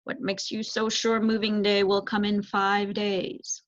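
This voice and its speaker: A woman voicing a grave, formal male owl in a storybook, speaking in measured pronouncements. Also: mid-range voice, completely flat delivery, no echo, lightly acted. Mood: curt, sure. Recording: dull, lo-fi close recording.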